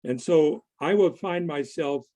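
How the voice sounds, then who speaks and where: A man presents to a group in a conversational way. His voice sits fairly high for a man and has a very mellow, relaxed tone. He speaks deliberately and a little haltingly, as if talking things through with his listeners. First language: English. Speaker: conference presenter. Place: online video meeting.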